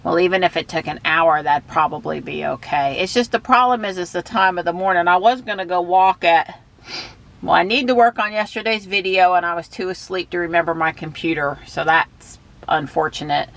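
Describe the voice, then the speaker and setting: A woman vlogging from behind the wheel of her car, chatting and thinking out loud. She has a stuffy nose from allergies. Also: fairly low pitch, steady tone, brisk. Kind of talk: casual thinking aloud while driving. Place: inside a car while driving.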